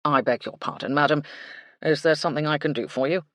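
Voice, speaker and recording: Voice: mid-range voice, quick pace, fairly bright tone, no room sound, clean studio sound, lightly acted. A narrator voicing a stiff, formal male hotel manager who speaks in a brittle, proper way.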